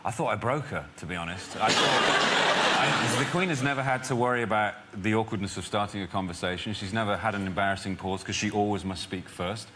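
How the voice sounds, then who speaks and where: A man's voice: lowish voice, a little fast. A man, a talk show guest, telling wry, self-deprecating anecdotes in a TV studio. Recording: noisy studio recording.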